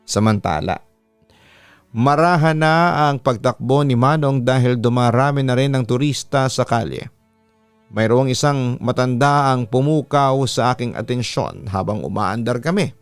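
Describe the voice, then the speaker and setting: Male Filipino radio-style narrator for a story read. Crisp, resonant, measured and dramatic, close-miked over faint music. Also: mid-range voice, no room sound. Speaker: radio announcer hosting a horror story show, reading listeners' letters. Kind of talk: scripted first-person story narration. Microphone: close studio microphone. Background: soft background music.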